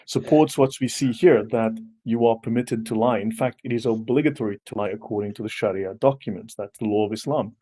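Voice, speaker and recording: A man hosting a live stream, talking forcefully and repeatedly challenging a viewer. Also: on the low side, a little fast, hardly any pauses, rough recording. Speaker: host. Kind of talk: combative livestream talk.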